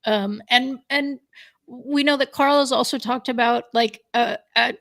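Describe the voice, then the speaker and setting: Woman with a gravelly, higher voice. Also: fairly even intonation, fairly bright tone. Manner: chatty, quick asides, frequent "um". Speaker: co-host. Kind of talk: casual live show chat.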